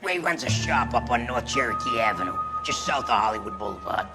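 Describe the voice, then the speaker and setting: An actor playing a weary but helpful, slangy shopkeeper bookmaker in a 1940s crime game. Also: male voice, medium pitch, animated, fairly quick, loud music behind the voice, natural voice. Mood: cooperative. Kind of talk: video game dialogue, 1940s crime drama.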